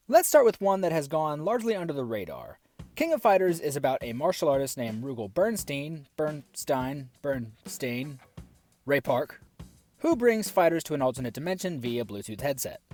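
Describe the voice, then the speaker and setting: Sarcastic, animated male film reviewer. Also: fairly high pitch. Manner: sarcastic, exasperated asides. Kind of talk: scripted review commentary.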